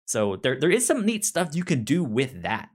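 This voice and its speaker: A man who stutters on syllables and sounds, talking casually and haltingly as a podcast co-host explaining pop culture. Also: medium pitch, huge pitch swings, breathy edge.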